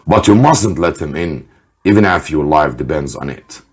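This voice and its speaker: A man voicing a brisk, commanding male royal officer who gives firm orders in a storybook reading. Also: extremely low pitch, animated, husky, heavily acted. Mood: urgent, commanding.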